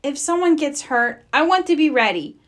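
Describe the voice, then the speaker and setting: A woman's voice: high voice, animated, moderate pace, a bit bright, pitched above the performer's own voice. A woman voicing an earnest, modest and clumsy young male Dalmatian pup in a children's storybook. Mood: unsure, earnest.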